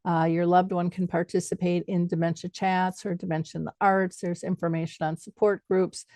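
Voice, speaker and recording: A woman's voice: fairly low pitch, level tone, normal speed, warm tone, no room sound, studio quality. A woman talking conversationally as the host of an interview show.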